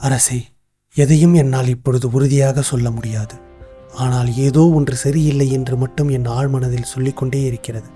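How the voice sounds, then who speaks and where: An actor voicing a wise, cautious royal minister in a cartoon, speaking formally and in a measured way. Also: male voice, medium pitch, steady tone, warm tone, faint music behind, lightly acted. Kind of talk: cartoon voice acting.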